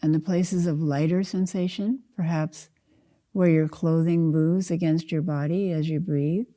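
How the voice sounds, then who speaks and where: Older lady doing guided meditation, really mellow dark voice, on the low side, calm n slow phrasing w gaps. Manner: calm, unhurried, measured, with spaced-out phrases. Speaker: Zen teacher leading a guided meditation.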